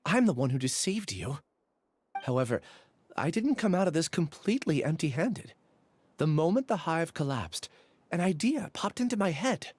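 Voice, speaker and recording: A man voicing a young male scholar in a game, talking softly and reflectively, confessing with quiet resolve. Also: a little high, slight background noise.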